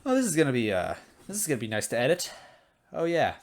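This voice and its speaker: A man's voice: mid-range voice, huge pitch swings, fast, slight background noise. A man reading a story aloud as an amateur host, with casual, self-deprecating asides.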